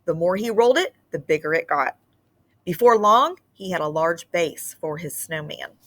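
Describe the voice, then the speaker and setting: A woman teacher reading a picture book aloud to her class in an expressive, sing-song way. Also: on the low side, moderate pace. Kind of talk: storybook read-aloud for children.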